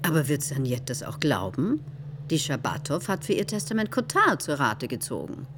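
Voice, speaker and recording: An actress playing a snobbish, practical bourgeois wife in a German radio play, weighing things sceptically and grudgingly. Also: female voice, low voice, big pitch swings, normal speed, a bit bright, slight background noise.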